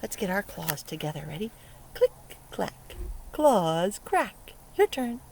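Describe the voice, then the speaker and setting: Outdoor ambient noise surrounds a woman reading a picture book aloud for small children. Her delivery is slow and very lively, with big swings in pitch. She is playful, stops to ask the children questions and acts out the sound words. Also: vocal fry, low-quality recording. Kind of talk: interactive read-aloud for young children. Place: outdoors by a lake. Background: outdoor lakeside ambience.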